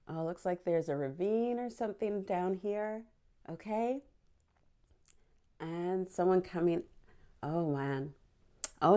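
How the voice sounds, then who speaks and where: A woman's voice: on the high side, a little mellow. A woman hosting a picture book storytime for young children, speaking in an encouraging, exclamatory way and putting questions to her little listeners.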